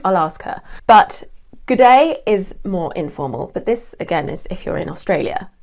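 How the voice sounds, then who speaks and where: Young English woman, British accent, clear deliberate teacher voice, friendly online lesson read. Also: medium pitch, darkish tone. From England. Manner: clear, deliberate teaching delivery with light laughs.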